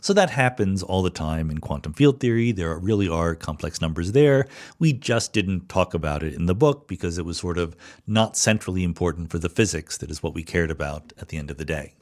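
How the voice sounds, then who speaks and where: A man's voice: low voice, lively intonation, a little fast. A man hosting a podcast, giving informal, off-the-cuff explanatory answers and qualifying his points as he goes. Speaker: podcast host. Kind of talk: off-the-cuff spoken answers to listener questions.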